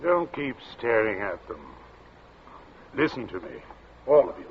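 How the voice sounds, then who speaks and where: A man's voice: on the low side, sing-song, a little slow. An actor playing a stern, snobbish middle-aged English father in 1940s radio drama, commanding and biting. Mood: scornful, urgent. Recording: muffled, noisy old radio recording.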